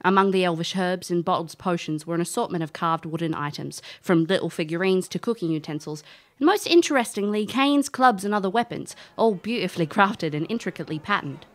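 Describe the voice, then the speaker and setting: Cheeky, lowish-voiced elf adventuress played by an actress. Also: female voice, lively intonation, fairly quick, natural voice. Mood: storytelling. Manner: informal, brash. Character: cheeky, breezy female elf adventurer recalling her youth. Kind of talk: audio drama narration and dialogue.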